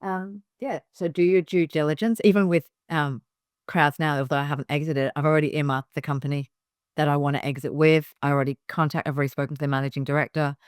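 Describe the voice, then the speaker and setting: A woman's voice: sits low, fairly even intonation, fast, clean tone, no echo, studio quality. A middle-aged woman from Hong Kong, a business founder giving direct, emphatic advice in a casual interview, with frequent fillers and restarts.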